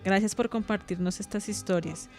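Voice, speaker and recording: A woman's voice: mid-range voice, normal speed, a little mellow, close to an everyday voice. A woman with a Colombian accent playing an affectionate, admiring and curious young granddaughter in an amateur radio play. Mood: moved, admiring. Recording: music under the voice. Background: music.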